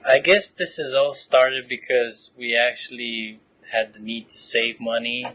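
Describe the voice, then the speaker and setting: A man in his late twenties from Romania, a graduate student answering interview questions in a relaxed, chatty way with frequent fillers and laughs. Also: medium pitch, unhurried, low-quality recording. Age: 20s. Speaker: podcast guest, PhD student.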